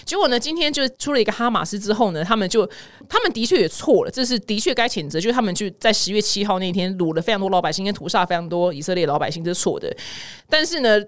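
A woman speaks with a Taiwanese Mandarin accent in a chatty, informal commentary style. Her pitch moves around a lot and her pace is quick. She retells the news like a podcast host, slipping into the words of the people she quotes. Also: lively intonation. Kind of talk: casual spoken news commentary.

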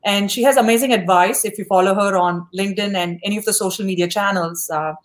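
A woman hosting a podcast, interviewing a guest and praising her warmly and effusively. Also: medium pitch, quick pace. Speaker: podcast host.

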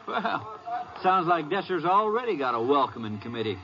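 An actor playing a folksy, sardonic American sheriff in a 1950s radio drama. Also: male voice, fairly high pitch, sing-song, moderate pace, a crowd in the background. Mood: wry, needling. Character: folksy, needling male county sheriff. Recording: muffled, noisy 1950s radio recording.

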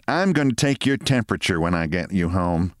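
A man's voice: low-pitched, lively intonation, fairly quick, no room sound, clean studio sound. A man voicing a nagging, impatient middle-aged wife who fires off fussing questions.

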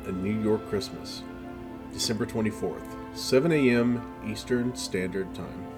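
A man's voice: fairly low pitch, unhurried. A man reading a story chapter as a scripted audiobook narration. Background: background music with guitar.

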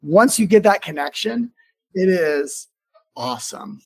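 Guy hosting a chatty business podcast, kinda high voice, loose rambly casual talk. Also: expressive, unhurried, darkish tone. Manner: informal, rambling, frequent "like". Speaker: podcast host and business owner. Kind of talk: casual conversational interview.

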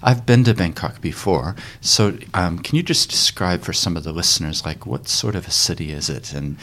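Deep-voiced male podcast host. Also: lively intonation, normal speed, fairly bright tone. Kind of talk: casual interview conversation.

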